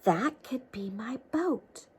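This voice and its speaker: A woman's voice: a little high, animated, slow, darkish tone, husky, exaggerated voice. A woman voicing an earnest, easily discouraged young male bumblebee in a children's storybook, with plaintive exclamations. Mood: hopeful.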